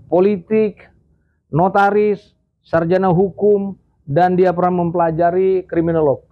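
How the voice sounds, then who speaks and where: A man hosting a casual political commentary show, rambling with many fillers and a slight cough. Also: high voice. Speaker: host. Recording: tinny phone-like audio.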